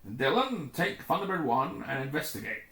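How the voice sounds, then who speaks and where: A man's voice: fairly high pitch, sing-song, moderate pace, sharp tone, full character voice. Man doing a commanding rescue chief, clipped orders, sci-fi storybook, varied intonation, roomy recording. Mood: decisive. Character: brisk, commanding male rescue organisation commander. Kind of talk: children's sci-fi adventure story reading.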